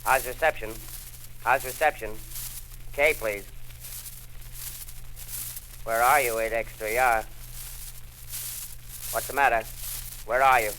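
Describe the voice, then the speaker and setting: A lone radio operator calling out. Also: male voice, medium pitch, takes it slowly, pauses often, slight background noise, poor audio. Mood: searching. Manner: repeating call signs flatly. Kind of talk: 1930s radio drama acting.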